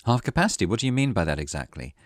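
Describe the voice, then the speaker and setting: A man voicing a composed, polite male knight in story dialogue, with controlled authority and quiet impatience. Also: on the low side, very animated, rapid-fire, dry recording, studio quality. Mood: polite, impatient.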